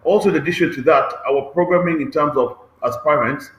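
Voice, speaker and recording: A man talks through his points on an online panel. His voice sits slightly high, and he speaks earnestly, checking in with "okay?" as he goes. The sound carries a noticeable room echo. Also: a little fast. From Kenya. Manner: adds checking "okay?" tags, earnest explaining. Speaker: transport agency head on a panel. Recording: roomy echo.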